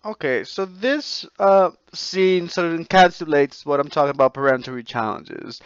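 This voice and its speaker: A man giving an informal voice-over lecture, explaining in a halting way with repeats and rephrasing. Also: fairly high pitch, animated, smooth, no echo, lo-fi sound, soft background music.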